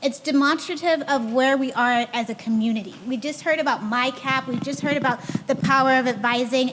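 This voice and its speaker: A woman moderating a panel discussion, upbeat and encouraging as she sets up questions. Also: high-pitched. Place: conference hall. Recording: hall reverb with faint room noise.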